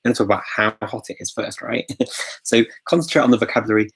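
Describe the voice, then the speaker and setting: Gravelly, husky man hosting a live Q&A. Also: on the low side, fast talker. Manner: chatty, filler-heavy, chuckles often, greets viewers. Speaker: host of a live language-learning Q&A. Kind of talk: casual live-stream Q&A. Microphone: phone.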